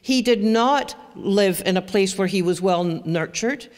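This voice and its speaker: Scottish woman speaking slowly in a hall. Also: medium pitch. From Scotland. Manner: lively, chatty, asks the audience questions. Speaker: presenter. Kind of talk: educational talk to parents. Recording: long hall reverb.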